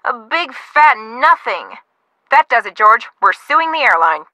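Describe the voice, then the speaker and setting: Excitable young American woman, very high pitch, bright, gushing, impatient game character, dry sound. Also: a little slow, no echo, full character voice. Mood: bored, exasperated. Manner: gushing, exclamatory, dramatic. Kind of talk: video game voice acting.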